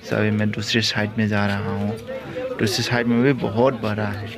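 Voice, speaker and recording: Low, monotone man vlogging. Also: moderate pace. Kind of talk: casual walk-through vlog commentary. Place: outdoor street market, walking. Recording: loud busy outdoor crowd noise.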